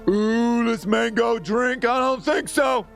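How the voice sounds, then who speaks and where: A man's voice: very high voice, fairly even intonation, slow pace, gravelly, fairly bright tone, faint music behind. A man chatting casually while live-streaming a game, with slangy, profane, easygoing talk. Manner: informal, slangy and profane, laughs easily.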